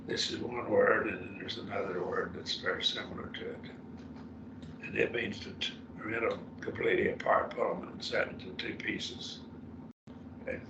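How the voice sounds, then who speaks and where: An older man with a raspy, gravelly voice teaches a Bible class in a plain-spoken way, often letting his sentences fade. His low voice comes from some distance in an echoey room. The recording sounds dull and muffled. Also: steady tone, moderate pace, background noise. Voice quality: raspy after throat surgery. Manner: plain-spoken, explains word by word, often trails off softly. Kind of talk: Bible study class lecture. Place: church classroom.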